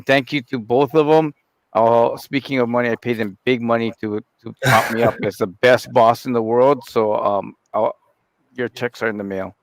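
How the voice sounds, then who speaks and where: A man who runs the organization chats on a live studio broadcast, trading jokes and teasing his co-hosts. His talk is loose and friendly, full of "uh" and restarted sentences. His pitch is ordinary, and the recording is close and dry. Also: clean tone, dry recording, low-quality recording. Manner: joking, chatty, with fillers and restarts. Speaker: head of the organization. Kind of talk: casual live talk show chat.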